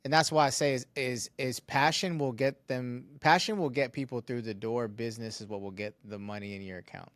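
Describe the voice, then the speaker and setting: A man's voice: mid-range voice, fairly even intonation, a little fast, hardly any pauses, fairly bright tone, no echo. A man co-hosting a casual podcast, talking business bluntly and swearing. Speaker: podcast co-host, studio owner.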